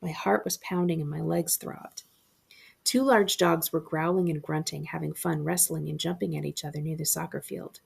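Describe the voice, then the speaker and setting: A woman narrates a children's horror story aloud as a librarian would, with expression. Her voice sits on the low side and is very creaky, with a husky, breathy grain. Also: fairly even intonation, moderate pace.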